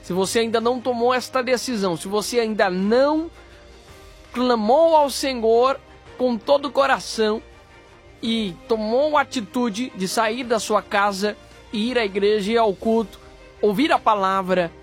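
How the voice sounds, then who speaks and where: A Brazilian man preaching an exhortation as a religious host, in solemn, deliberate phrases. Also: very high-pitched. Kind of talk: sermon, exhortation. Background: background music.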